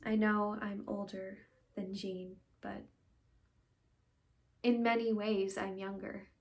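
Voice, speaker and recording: A woman acting an earnest, conflicted young woman in a halting, pleading stage monologue of confession and farewell. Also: mid-range voice, flat delivery, creaky. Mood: wistful, confiding. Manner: halting, pleading, with long pauses and hushed phrases.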